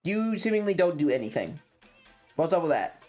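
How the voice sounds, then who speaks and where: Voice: medium pitch, moderate pace. A streamer telling a story to chat in a casual, sweary, sardonic way, acting out the dialogue as they go. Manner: sweary, sardonic, animated retelling with acted-out dialogue. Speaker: streamer hosting a variety game stream. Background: video game music.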